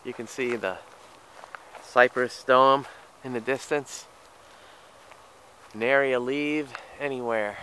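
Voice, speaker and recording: A man narrating a winter hike through the woods to his camera, relaxed and admiring of what he finds along the trail. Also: medium pitch, slow pace, long pauses, a little hiss or hum, poor audio. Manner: relaxed, admiring, speaks to camera while walking. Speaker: hiking vlogger. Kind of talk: casual hiking commentary. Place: outdoors in scrubby woods and swamp trails. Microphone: handheld camera while hiking.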